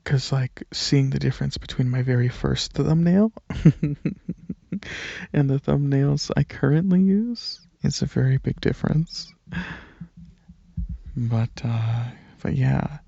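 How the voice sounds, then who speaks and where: A man speaking softly and breathily in a relaxed, hesitant ASMR ramble, partly whispering, into a microphone held close. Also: mid-range voice, slow pace, gravelly, long pauses, husky, no room sound, dull audio. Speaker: ASMR video creator. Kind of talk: casual rambling ASMR, softly spoken to listeners. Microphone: microphone held close at chest, leaning back.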